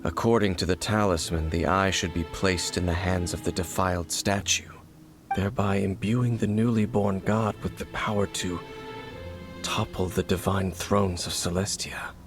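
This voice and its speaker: An actor playing a grave male scholar in a video game, speaking in a calm, measured, explanatory way. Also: sits low. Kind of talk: game voice acting. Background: game music.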